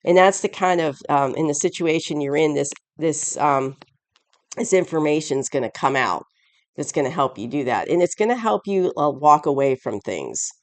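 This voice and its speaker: A tarot reader with a raspy voice, hoarse and stuffed up from a cold, giving a casual reading and often searching for words mid-sentence. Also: medium pitch, lively intonation, normal speed. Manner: rambling, searching for words with many restarts; chuckles often while explaining.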